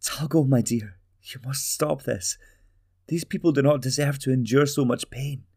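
A man voicing a gentle middle-aged mother who pleads softly and weeps over her cruel son. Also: medium pitch, moderate pace, husky, pitched above the performer's own voice. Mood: pleading, tearful. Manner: soft pleading, tearful.

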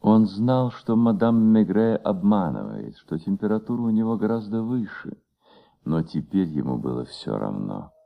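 A man's voice: fairly low pitch, sing-song, normal speed, vocal fry, narrow, tinny audio. A man narrating a literary radio play as the author's voice, reading scripted prose. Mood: reflective. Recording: studio radio recording under prominent music.

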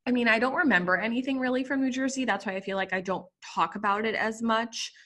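A woman's voice: a little high, fast talker, fairly bright tone. A young woman from Puerto Rico hosting a casual podcast interview, chatty and full of asides. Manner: chatty, many fillers and asides. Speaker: podcast host.

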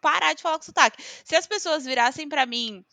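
A woman from Brazil with a high, bright, forceful voice, chatting expressively about her experiences. She is recorded dry and close, with no room sound. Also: gravelly, no room sound. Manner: animated and expressive, quick outbursts. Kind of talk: casual chat.

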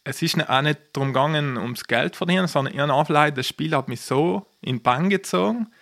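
A man's voice: on the high side, brisk, vocal fry, a bit bright. South Tyrolean man chatting enthusiastically. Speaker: podcast guest, professional poker player. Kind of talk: casual chat, personal storytelling.

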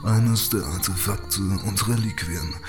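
A man voicing an old, brooding necromancer mage in an audiobook, speaking slowly, gravely and deliberately. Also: low voice, music in the background, voice pushed down. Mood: grave, measured. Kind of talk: audiobook character voice.

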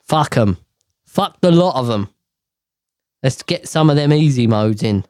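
A man's voice: medium pitch, lively intonation, measured pace, darkish tone, no echo, clean studio sound. A man ranting casually to camera, profane and exasperated, rambling with sighs and restarts.

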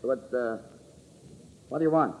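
An actor playing a nervous, guarded murder suspect in an old-time radio drama. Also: male voice, medium pitch, measured pace, natural voice. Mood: nervous, wary. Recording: dull old radio recording.

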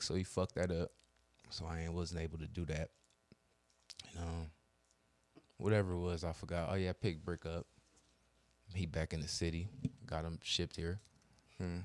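A man's voice: low-pitched, fairly even intonation, moderate pace, long pauses, no echo. A young man telling a long, profane story in casual podcast chat, acting out both sides of the arguments. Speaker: podcast co-host.

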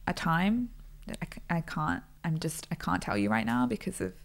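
A woman co-hosting a podcast, chatting in an easygoing way, tired and low on energy. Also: very animated, moderate pace. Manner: easygoing, laughs often. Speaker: podcast co-host. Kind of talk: casual chat.

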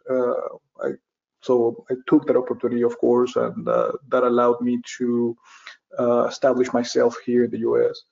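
A middle-aged man from Venezuela, a safety professional, answering interview questions with many pauses, fillers and restarts. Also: medium pitch, vocal fry, mellow. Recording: dull lo-fi audio.

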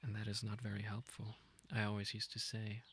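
A man leading a guided meditation, speaking gently and informally in an unhurried, intimate way. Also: fairly low pitch, completely flat delivery, a little hiss or hum. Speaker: meditation guide, podcast host.

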